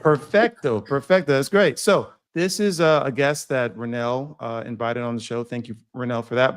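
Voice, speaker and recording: A man hosts a casual podcast, sounding worn out. He speaks in a friendly, easygoing way with frequent fillers and restarts. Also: mid-range voice. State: tired. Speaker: podcast co-host.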